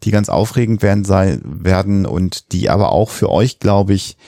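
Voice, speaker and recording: A man's voice: low-pitched, lively intonation, normal speed, creaky, dark tone, no echo, studio quality. A man talking casually as a podcast host.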